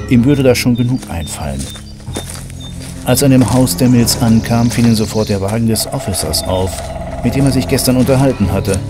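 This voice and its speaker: A man's voice: fairly low pitch, lightly acted. A man narrating a horror audio drama as a composed storyteller, in scripted prose.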